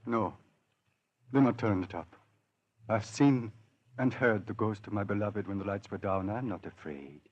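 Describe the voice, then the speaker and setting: An actor playing a lovestruck, grave prince, speaking in solemn declamation in an old radio drama. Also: male voice, fairly low pitch, a little slow, pauses often. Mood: tender, grieving. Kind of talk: 1940s radio acting. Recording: muffled old radio recording, music behind. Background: music.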